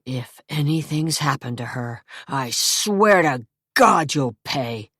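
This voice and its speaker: A woman's voice: low-pitched, lively intonation, slow, husky, dry recording, clean studio sound, heavily acted. A woman doing a furious, accusing man. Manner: shouting, accusing. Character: furious injured younger brother, a man.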